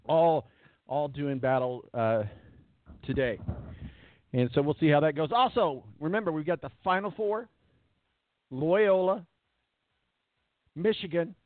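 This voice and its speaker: A man hosting a sports radio show in casual chat, rambling with fillers and repeated words, with a voice that is going hoarse. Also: a little high, no echo. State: losing his voice. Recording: tinny phone-line quality, dry.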